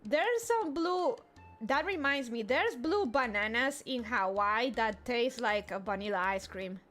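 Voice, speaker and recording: Playful high-voiced young woman streamer. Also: expressive, a bit bright, faint music behind. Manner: playful, jokey, quick asides. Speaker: VTuber streamer. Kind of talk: casual game readalong commentary.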